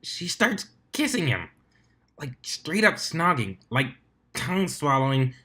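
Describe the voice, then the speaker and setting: A man voicing a brash female pegasus stunt flyer, gossiping in excitable slang for an amateur fan fiction reading. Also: a little high, measured pace, heavily acted. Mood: gossipy, excited. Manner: excitable, slangy storytelling with many likes.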